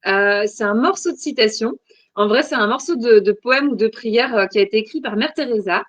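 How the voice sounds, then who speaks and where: A woman's voice: a little high, fairly quick, no echo. Woman, forties, from Belgium, podcast guest, hesitant, many fillers, dry close sound. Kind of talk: casual interview answers.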